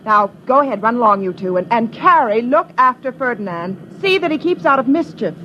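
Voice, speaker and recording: A woman's voice: fairly high pitch, lively intonation, brisk, close to an everyday voice. A woman playing a prim, scolding older sister in a 1950s radio drama. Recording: dull vintage radio recording.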